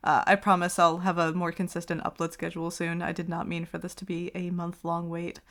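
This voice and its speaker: A woman ASMR creator chatting casually to her listeners in an excitable, emphatic, rambling way. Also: lowish voice, a little fast.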